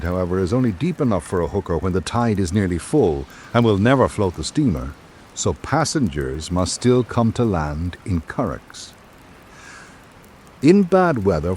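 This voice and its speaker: A man playing a reflective visiting writer, reading his island journal in measured, literary radio drama narration. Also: lowish voice, a little mellow, a little hiss or hum.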